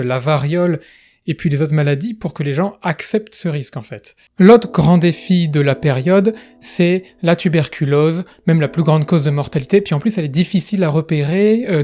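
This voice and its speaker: Male video essayist, slightly high mellow voice, talks casually with asides over faint music. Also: normal speed. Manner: chatty, with asides and fillers. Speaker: educational video host. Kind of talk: casual explanatory narration.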